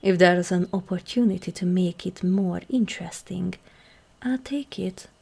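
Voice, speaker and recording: A woman playing a regal, seductive ancient demon queen in an ASMR roleplay, measured and commanding, with a husky, breathy, creaky voice that often softens toward a whisper. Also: fairly low pitch. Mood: languid, intrigued. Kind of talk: ASMR roleplay, scripted monologue to the listener.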